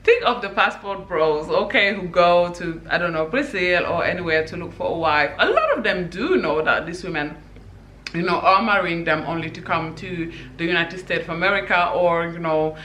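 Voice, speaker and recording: A woman from Senegal speaks straight to her viewers, laying out her views in an emphatic, conversational way and often dropping in "you know". Her voice is bright and forceful. The room around her gives it an echoey sound. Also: fairly low pitch, expressive, moderate pace, slight background noise. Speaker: vlogger. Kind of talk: opinion commentary vlog.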